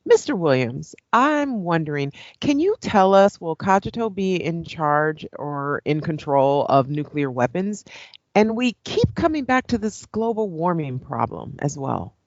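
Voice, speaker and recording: A woman's voice: deep voice, sing-song, unhurried, darkish tone, no echo, muffled recording, full character voice. A woman playing a polite, professional current-affairs host in an audio play. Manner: courteous, professional.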